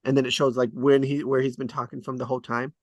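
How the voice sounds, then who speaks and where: A man's voice: medium pitch, little pitch movement, fast, no room sound, muffled recording. A man hosting a table read, chatting casually and hesitantly about scriptwriting as he thinks aloud. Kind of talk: casual rambling discussion of screenwriting.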